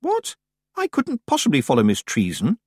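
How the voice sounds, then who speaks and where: A man voicing a sensible, quietly stubborn young girl witch in a storybook, plain-spoken and politely stiff. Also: a little high, wildly swooping pitch, normal speed, breathy edge, no room sound, studio quality, voice pushed up, exaggerated voice. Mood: startled, dismissive.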